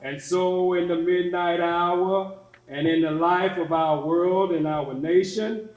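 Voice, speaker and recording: A man's voice: high-pitched, steady tone, muffled recording, a crowd in the background. A man playing an earnest preacher, echoey hall. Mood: solemn, exhorting. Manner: deliberate oratorical phrasing with pauses. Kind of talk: staged sermon in readers theatre.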